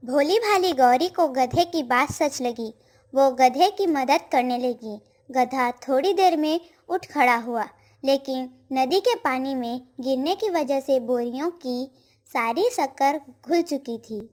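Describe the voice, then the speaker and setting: A woman's voice: high-pitched, takes it slowly, a little mellow. A woman reading a scripted storybook narration for a moral tale.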